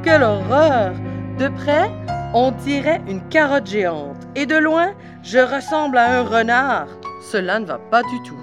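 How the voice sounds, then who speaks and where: A woman's voice: high-pitched, sing-song, moderate pace, highs cut off, pitched above the performer's own voice. Woman playing a fussy, theatrical big storybook wolf. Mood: horrified. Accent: Acadian French mixed with Québécois. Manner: exclamatory, theatrical. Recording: music behind the voice.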